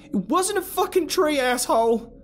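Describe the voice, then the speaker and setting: A woman's voice: lively intonation, takes it slowly, mellow, slight background noise, heavily acted. A man voicing a defiant, frantic young woman who curses shrilly, over faint music. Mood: furious. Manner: shrill and cursing.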